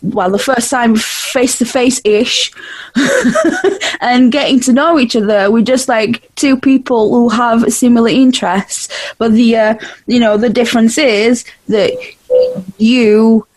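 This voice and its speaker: A Portuguese woman in her thirties with a Yorkshire tinge, hosting a podcast over a video call. Her voice sits fairly high and sounds dry and close, and she chats freely, laughing often and restarting her sentences. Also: measured pace, darkish tone, no echo, poor audio. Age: 30s. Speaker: podcast host. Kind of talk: casual conversational interview.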